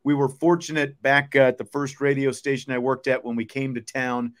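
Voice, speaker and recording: A man's voice: medium pitch, little pitch movement, brisk. Middle-aged man hosting sports talk. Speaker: sports talk show host.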